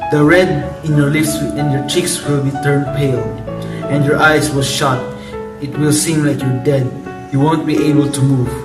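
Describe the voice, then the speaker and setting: A man's voice: on the high side, close to an everyday voice. A man playing a wise, fatherly friar giving counsel in an amateur radio drama. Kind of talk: student radio drama acting of modernised Shakespeare. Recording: echoey, distant mic, loud music behind. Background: music.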